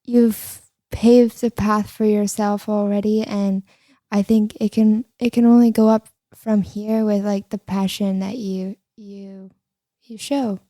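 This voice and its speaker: A woman hosting a podcast, with a soft, high voice, speaking agreeably and affirming her guest. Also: little pitch movement, slow pace, dry recording, studio quality. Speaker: podcast host. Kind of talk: casual interview chat.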